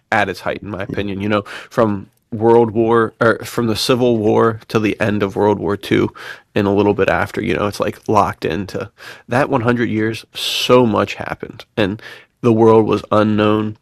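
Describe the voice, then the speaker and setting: A man with a lowish voice and plenty of gravelly creak talks in an easy, slangy podcast style. He sounds keen and agreeable, recorded very dry. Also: no echo. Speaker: podcast co-host. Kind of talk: casual enthusiastic chat.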